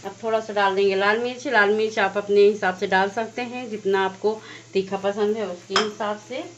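A woman hosting a home cooking channel, calmly explaining each step of a recipe as she goes. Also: medium pitch, moderate pace, noisy background. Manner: plain, instructive, walks through each step. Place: home kitchen at the stove. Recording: slightly dull lo-fi sound with faint music.

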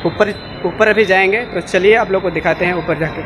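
A man's voice: high-pitched, steady tone, a little fast. A man, chatting casually to viewers as a vlogger. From India. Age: 20s. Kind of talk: casual vlog chat. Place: large indoor shopping mall. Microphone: phone at arm's length. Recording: noisy phone recording in busy mall.

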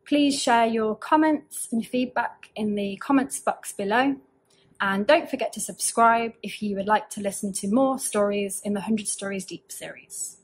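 Young female story reader, higher-pitched, very measured delivery. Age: young adult. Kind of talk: slow children's story reading. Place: small indoor room with bookshelves.

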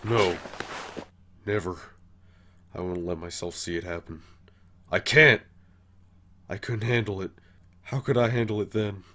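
Man voicing slow, low, weary skeleton. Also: steady tone, bright, forceful tone, a little hiss or hum. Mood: anguished. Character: laid-back, weary male skeleton, secretly troubled brother. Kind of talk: animated comic dub acting.

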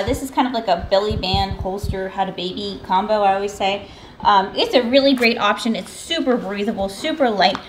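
A woman's voice: medium pitch, a little hiss or hum, rough recording. An American woman in her thirties giving a casual, conversational product review to camera as host. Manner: conversational, informal. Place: backyard patio and home kitchen.

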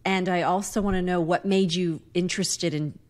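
A woman in her forties hosting an interview, asking questions in a soft, halting, curious way from her home. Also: lowish voice, fairly even intonation. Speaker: podcast host. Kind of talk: casual interview. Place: home living room.

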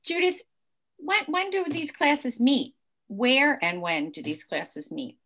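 A woman moderates an online panel over a video call. She sounds upbeat and enthusiastic, with a slightly high, bright voice. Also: big pitch swings, slow pace, slight background noise.

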